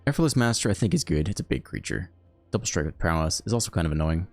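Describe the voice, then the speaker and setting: Relaxed male game host, low voice with a lively swing, talking through each play over faint music. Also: fast, no echo. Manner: thinks aloud, relaxed and chatty. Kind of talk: casual live gameplay commentary.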